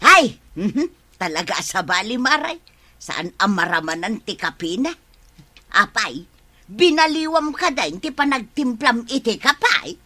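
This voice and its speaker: A woman's voice: on the high side, sing-song, unhurried, bright, forceful tone, heavily acted. A woman playing a shameless, fault-finding neighbour who mooches meals in an Ilocano comedy radio drama, speaking with an Ilocano accent in a brash, exclamatory way. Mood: complaining.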